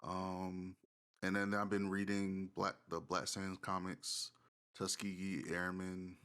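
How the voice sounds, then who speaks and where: A man co-hosting a podcast in casual, slangy, somewhat halting talk. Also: deep voice, completely flat delivery, a bit bright. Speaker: podcast co-host. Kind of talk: casual chat.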